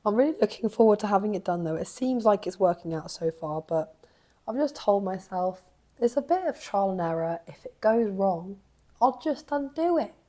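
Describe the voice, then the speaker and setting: A young woman, croaky from a cough, chatting casually in a knitting vlog as she thinks aloud. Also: medium pitch, expressive, normal speed, dark tone. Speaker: knitting vlogger.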